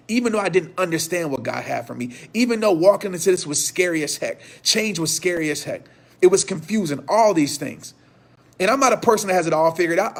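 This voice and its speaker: A man in his thirties giving an impassioned motivational talk to viewers, hoarse from losing his voice, with a repetitive preaching cadence. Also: high-pitched. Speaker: motivational speaker.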